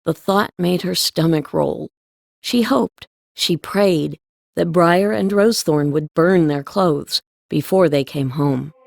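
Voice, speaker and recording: Woman reading a storybook audiobook, lowish voice, really dry recording. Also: slow, creaky, darkish tone, no echo. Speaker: audiobook narrator. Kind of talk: scripted storybook narration.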